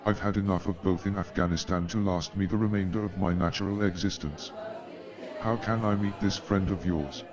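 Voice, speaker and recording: An actor playing a weary, wry, sardonic army doctor in a staged radio play, speaking formally. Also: male voice, sits low, fairly even intonation, normal speed, muffled recording, a crowd in the background, lightly acted. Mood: formal, inquisitive.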